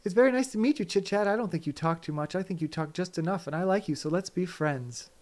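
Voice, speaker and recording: A man's voice: high voice, fast, darkish tone, voice pushed up, natural voice. A man voicing a small, curious and polite young male gosling in an audiobook, speaking in a friendly, earnest way. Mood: kind.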